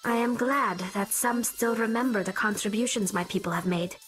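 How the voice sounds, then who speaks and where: A woman's voice: on the high side, normal speed, fairly bright tone, faint music behind, natural voice. A woman voicing an earnest, precise young female alien engineer in a video game. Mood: quietly hurt.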